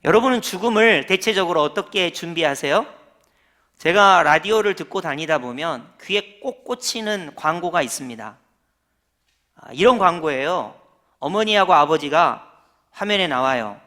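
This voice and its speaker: A man's voice: on the high side, expressive, normal speed, pushed and bright. A man preaching a Sunday sermon as senior pastor, in a conversational way that keeps asking the congregation questions.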